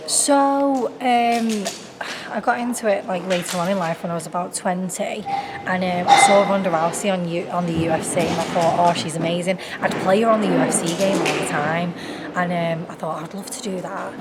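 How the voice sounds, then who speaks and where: A young woman with a Northwest English accent and a low-pitched voice, talking in a chatty, giggly way full of fillers. She answers interview questions casually. Clear background noise runs under her voice. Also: moderate pace. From Warrington, England. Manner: chatty, laughs often, many fillers. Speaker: professional boxer.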